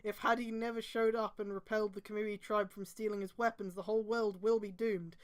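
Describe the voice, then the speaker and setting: A woman's voice: medium pitch, little pitch movement, fairly quick. A woman reading fan fiction aloud on a stream, breaking in with exasperated, casual, sweary commentary.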